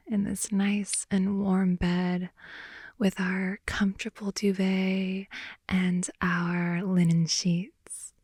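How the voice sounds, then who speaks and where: A woman improvising an intimate ASMR roleplay as a playful, affectionate girlfriend in bed, speaking softly, often in whispers and sighs, close to the microphone. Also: mid-range voice, level tone, extremely slow, breathy edge, no echo. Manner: soft, often whispery, sighing, teasing. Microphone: close microphone, dry intimate sound.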